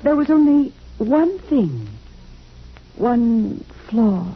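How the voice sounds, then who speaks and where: An actress playing a gentle, sorrowful android wife in a 1950s radio drama, speaking softly and wistfully in measured phrases. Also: female voice, on the high side, expressive, breathy edge, exaggerated voice. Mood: wistful, tender. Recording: muffled, noisy old radio recording.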